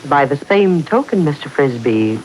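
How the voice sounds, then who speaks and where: An actress playing a mysterious, commanding woman with formal, deliberate speech in a 1940s radio serial. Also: low-pitched, sing-song, a little mellow, low-quality recording. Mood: cool, commanding. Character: mysterious, commanding woman who claims controlling powers. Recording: hissy 1940s radio broadcast.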